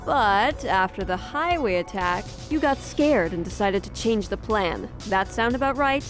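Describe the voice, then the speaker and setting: A woman's voice: mid-range voice, expressive, unhurried, faint music behind, natural voice. A woman playing a no-nonsense, sardonic senior police officer in an audio drama. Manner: firm, dry, sardonic.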